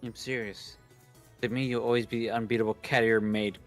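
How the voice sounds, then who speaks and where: A man's voice: mid-range voice, level tone, brisk, faint music behind, close to an everyday voice. A man voicing a grandiose, pompous young self-styled mad scientist from a visual novel. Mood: earnest. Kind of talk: reading visual novel dialogue aloud.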